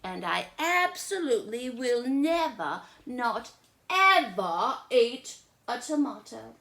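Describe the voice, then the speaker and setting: A woman's voice: on the high side, very animated, slow pace, pushed and bright, voice pushed up, full character voice. A woman voicing a small, fussy, stubborn little girl in a storybook, with emphatic, dramatic delivery.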